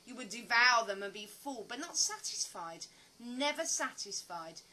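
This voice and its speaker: A woman's voice: on the high side, sing-song, a little slow, sharp tone, heavily acted, rough recording. An actress playing an earnest, philosophical woman survivor in an audio play, with reflective, dramatic phrasing. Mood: earnest, intense.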